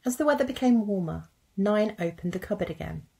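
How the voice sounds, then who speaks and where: Casting: female teacher, thirties, children's story narrator, mid-pitched, husky, deliberate phrasing. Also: expressive, warm tone. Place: indoors at a computer.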